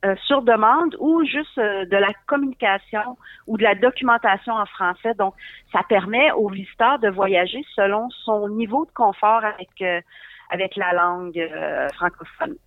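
A woman's voice: medium pitch, moderate pace, no room sound. A woman from northern Ontario, a tourism advisor, answering interview questions with many hesitant fillers. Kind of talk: remote interview. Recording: tinny phone-line sound.

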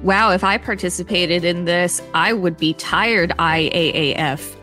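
Female podcast host, lower register, reading articles with casual asides over faint music. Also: unhurried, bright, forceful tone.

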